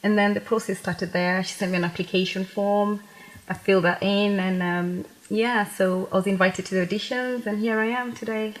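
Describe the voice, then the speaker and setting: A young woman from Kenya speaks as a guest on a studio talk show. Her voice sits at a middle pitch, and she tells her story casually, with quoted asides. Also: steady tone, moderate pace, poor audio. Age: young adult. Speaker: interview guest, pageant queen.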